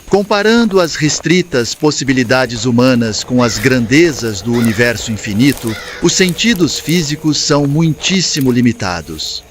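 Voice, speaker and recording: A wise male spirit mentor played by a man speaks. He is calm, solemn and instructive, with measured formal phrasing for an audiobook story. A light layer of ambient noise sits behind him. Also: mid-range voice, close to an everyday voice. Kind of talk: scripted audiobook dialogue, lecturing.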